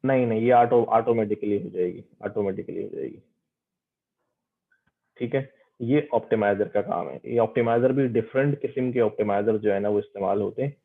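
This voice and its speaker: A man's voice: on the low side, moderate pace, creaky. A man teaching an online class, explaining step by step and often repeating his words for emphasis. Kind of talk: online lecture with student questions. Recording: muffled phone-like radio-quality audio.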